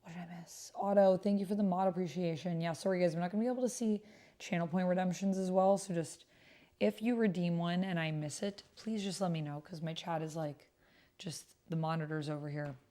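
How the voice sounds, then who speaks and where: Low-pitched woman, casual livestream chat. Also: steady tone, a little fast, mellow. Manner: murmurs to self while typing and reading messages aloud. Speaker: streamer and host. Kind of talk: casual livestream chat while playing a text roleplay game. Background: computer keyboard typing.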